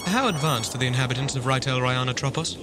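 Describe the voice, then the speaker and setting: A man playing a stern, watchful starship commander in a science fiction audio drama, measured and authoritative. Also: medium pitch, lightly acted. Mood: commanding. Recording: music-backed amateur 1980s audio drama. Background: music.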